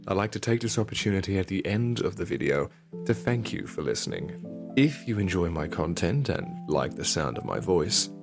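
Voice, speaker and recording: A man with a gravelly voice giving a scripted outro to his listeners as channel host. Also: deep voice, big pitch swings, moderate pace. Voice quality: gravelly, creaky. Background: guitar music.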